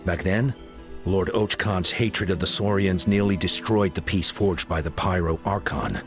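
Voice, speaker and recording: Worried tribe leader in a game, lowish serious voice, music under it. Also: male voice. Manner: grave, deliberate.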